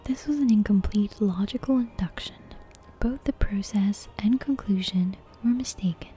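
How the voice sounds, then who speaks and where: A woman reading a bedtime story as ASMR in a soft, breathy, unvoiced whisper, with hushed and deliberate narration. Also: mid-range voice. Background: soft game soundtrack music.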